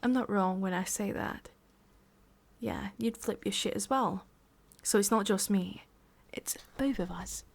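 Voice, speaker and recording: A woman's voice: mid-range voice, unhurried, gravelly. Woman acting a domineering English female boss, whispery menace. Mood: scornful, irritated. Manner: condescending, swearing, whispery and menacing. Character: sadistic, domineering female boss scolding an employee. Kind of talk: ASMR roleplay.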